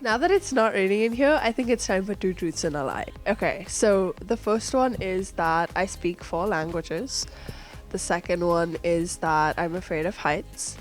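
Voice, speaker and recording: A woman's voice: lowish voice, measured pace. Young woman from India, chatty, faint music. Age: young adult. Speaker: news intern introducing herself. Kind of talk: casual self-introduction to camera.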